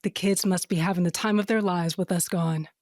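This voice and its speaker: A woman's voice: on the low side, fairly even intonation, a little fast, a little mellow, no echo, studio quality, natural voice. A woman playing a caring, earnest mother in a fantasy audio drama, with a natural conversational delivery. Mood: wry. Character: worried, caring mother held in a fantasy jail.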